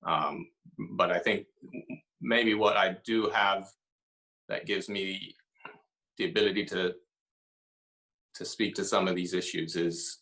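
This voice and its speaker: Male author in interview, low pitch with a crisp bright edge, reflective, halting delivery with many fillers. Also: fairly even intonation, poor audio. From grew up in Haiti and Ethiopia. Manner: reflective, pauses often with fillers and restarts. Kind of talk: thoughtful interview answers.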